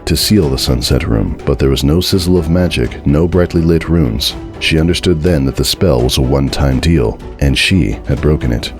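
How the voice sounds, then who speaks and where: Male narrator, extremely low pitch, dramatic horror storytelling with clearly audible music underneath.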